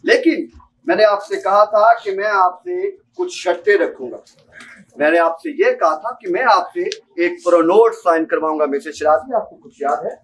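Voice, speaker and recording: A man's voice: sits high, lively intonation, normal speed. A student actor, a young man with a Pakistani accent, playing an insistent, politely menacing bank clerk in an amateur stage drama. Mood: coldly menacing. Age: young adult. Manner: formal, insistent, repeatedly addressing the listener politely. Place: seminar hall stage. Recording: distant mic in a hall.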